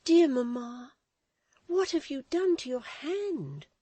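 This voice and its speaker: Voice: high-pitched, very animated, takes it slowly, warm tone, no echo, voice pushed up, full character voice. A reader voicing a frightened, tender young daughter, pleading and near tears. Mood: anxious. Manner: pleading, tearful. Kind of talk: audiobook reading.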